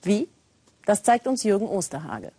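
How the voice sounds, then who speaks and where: A woman's voice: mid-range voice, very animated, normal speed, heavy background noise. A woman presenting a television news magazine with a scripted introduction. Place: dry studio.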